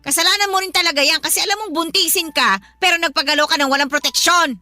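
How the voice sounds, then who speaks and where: A woman's voice: very high voice, fast talker, pushed and bright, no room sound, soft background music, full character voice. A woman playing a scornful, scolding middle-aged mother-in-law in a Tagalog radio drama, with a Filipino accent and a sarcastic, accusing way of talking.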